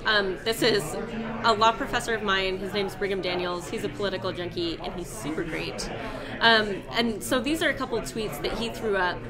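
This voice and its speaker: Vrouw die verkiezingsnieuws bespreekt. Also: female voice, medium pitch. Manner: explains politics in a relaxed, chatty way. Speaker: co-host. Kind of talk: live election night commentary. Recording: noisy room with crowd chatter.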